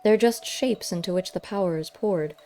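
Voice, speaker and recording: Woman as a low, level-headed animal investigator. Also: moderate pace, dark tone, lightly acted. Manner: matter-of-fact, questioning. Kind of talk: audiobook character voice.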